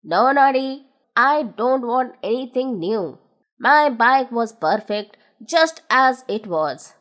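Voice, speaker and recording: A woman voicing an older, fussy gnome who is set in his ways, talking in a lower, firm and emphatic voice. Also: expressive, slow pace, a bit bright, lo-fi sound.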